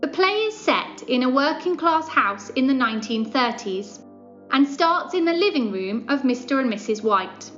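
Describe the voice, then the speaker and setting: A woman's voice: high-pitched, lively intonation, normal speed, a bit bright. A woman narrator reading a scripted introduction to a radio play. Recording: dull lo-fi sound with music.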